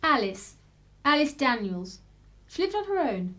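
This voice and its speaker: A woman's voice: high voice, big pitch swings, unhurried, pushed and bright, exaggerated voice. An actress playing a genteel, posh English woman, well-spoken, gracious and wry, in a radio drama. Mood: wry, conversational. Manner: well-spoken, wryly humorous.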